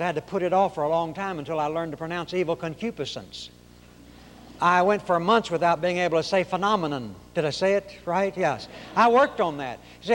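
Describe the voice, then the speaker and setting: A man from Oklahoma with a high-pitched nasal voice, preaching a sermon in folksy storytelling fashion. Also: a bit bright, in a large hall, a little hiss or hum. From Oklahoma, raised in Arkansas.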